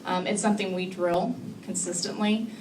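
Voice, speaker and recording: A woman's voice: medium pitch, steady tone, normal speed, a little mellow. Hesitant woman in an echoey room. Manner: hesitant, many fillers. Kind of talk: panel discussion answer. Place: public library meeting room. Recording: echoey room, far mic, noisy.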